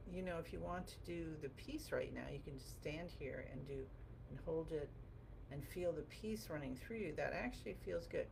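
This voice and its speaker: A woman with a gravelly voice guiding a qigong class through movement and meditation in a gentle, encouraging, informal way. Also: sits low. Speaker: qigong teacher. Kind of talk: guided movement and meditation instruction. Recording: clearly noisy background.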